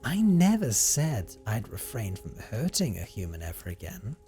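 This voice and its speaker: A man's voice: lowish voice, wildly swooping pitch, dark tone, faint music behind. A man playing a brooding, possessive vampire recluse in an audio roleplay monologue, with formal, theatrical phrasing and a teasing streak. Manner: formal, theatrical, deliberate phrasing with condescending endearments. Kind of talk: dramatic first-person audio roleplay monologue.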